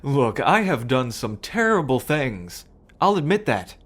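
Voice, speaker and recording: Estranged brother, male actor: slow delivery, average male pitch, pleading, apologetic, hesitant, audio roleplay. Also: sing-song, fairly bright tone, slightly rough, heavily acted. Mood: earnest. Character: apologetic, earnest man on the outs with the mob, pleading brother.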